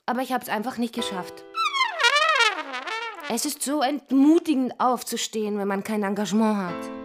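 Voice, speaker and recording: An actress playing a chatty, teasing, moody out-of-work young stage performer in a radio drama, breezy and dramatic. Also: female voice, on the high side, normal speed, loud music behind the voice, close to an everyday voice. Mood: despondent. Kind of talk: naturalistic radio drama acting.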